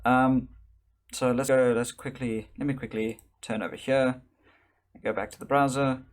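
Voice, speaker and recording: A man's voice: mid-range voice, little pitch movement, unhurried. Rambling, wry man from South Africa. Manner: rambling, self-correcting, wry asides. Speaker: live streamer. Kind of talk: casual live-stream commentary. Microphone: desk microphone.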